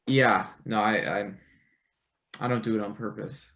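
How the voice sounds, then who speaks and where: A man's voice: on the low side, fairly even intonation, measured pace, fairly bright tone. A man playing a nervous young college intern in a stage play reading, stammering, rambling and self-deprecating. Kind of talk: live table read of a satirical stage play.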